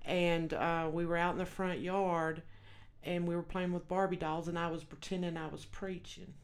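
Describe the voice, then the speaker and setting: A woman's voice: lowish voice, little pitch movement, moderate pace. A woman with a Texas accent, a guest minister sharing her testimony in an earnest storytelling way. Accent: Texan. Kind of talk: personal testimony.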